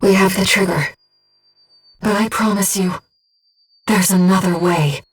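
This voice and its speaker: A woman voicing a young, gentle, bodiless female spirit in a video game, soft-spoken and precise as she guides a mercenary through missions. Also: mid-range voice, measured pace, slightly rough, distant mic, faint music behind. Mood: tender, solemn. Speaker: mission operator companion. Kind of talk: video game voice acting, tactical radio guidance.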